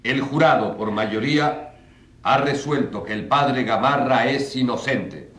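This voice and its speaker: An actor playing a formal, declamatory judge who presides over a tribunal in a radio drama. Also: male voice, mid-range voice, unhurried, fairly bright tone, slightly rough. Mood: formal, procedural. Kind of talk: radio drama courtroom speech.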